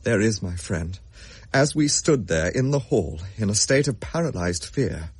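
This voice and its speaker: A husky-voiced young gentleman, played by a man, haunted and shaken. He tells his tale in grave, old-fashioned phrases that halt and stammer, in the style of a period radio drama. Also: fairly low pitch, very animated, measured pace, no echo, exaggerated voice. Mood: shaken, doomed.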